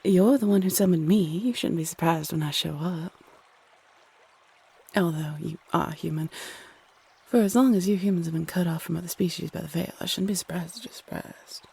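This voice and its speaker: A woman playing a flirty, playfully condescending female Cupid in an intimate roleplay audio, sultry and coy with drawn-out phrasing. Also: on the low side, creaky, mellow, slightly rough, full character voice. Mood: amused, coy.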